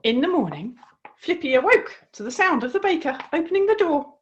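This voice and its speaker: Woman, highish voice, lively intonation, children's read-aloud, expressive, encouraging storyteller. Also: brisk, muffled recording. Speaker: author reading her own book.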